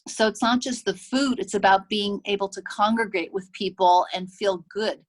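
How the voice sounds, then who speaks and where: A woman's voice: mid-range voice, normal speed. Chatty woman practitioner giving a health talk. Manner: conversational, checks in with "make sense?"; acts out patients' remarks in quotes. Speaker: chiropractor and health practice owner. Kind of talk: presentation to an association.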